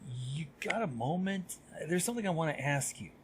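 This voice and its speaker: A man's voice: on the high side, expressive, moderate pace, a little hiss or hum, pitched above the performer's own voice, lightly acted. A man voicing a young male griffin student with a casual, coaxing manner in a story reading.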